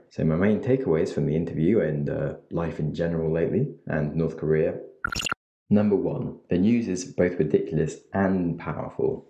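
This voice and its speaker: A man's voice: rumbling low, vocal fry, warm tone. A man hosting a podcast, speaking casually and bluntly as he shares his takeaways after an interview. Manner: casual, blunt, swears lightly. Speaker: podcast host. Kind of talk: solo recorded outro reflection. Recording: dull echoey room.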